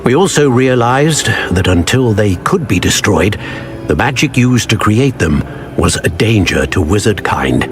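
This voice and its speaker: An actor playing a grave older wizard professor in a video game, solemn, measured and formal in his speech. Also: fairly low pitch, lively intonation. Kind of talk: dramatic video game acting.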